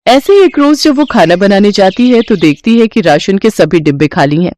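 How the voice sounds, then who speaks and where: A woman tells a moral tale as a storyteller. She reads from a script in a mid-pitched voice, narrating events in order. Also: expressive, moderate pace, a little mellow, slight background noise.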